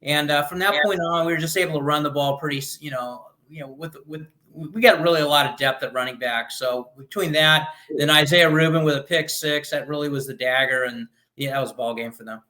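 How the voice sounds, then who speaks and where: A man's voice: fairly high pitch, brisk, few pauses, bright, forceful tone, low-quality recording. An American man who works as a radio sports announcer, chatting casually in an interview.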